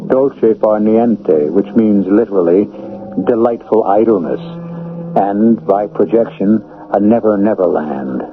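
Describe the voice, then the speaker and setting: A man with an American accent hosting a radio drama, narrating in a measured, ornate and ominous storytelling way. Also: fairly low pitch, faint music behind. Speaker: host and narrator. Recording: tinny old radio broadcast.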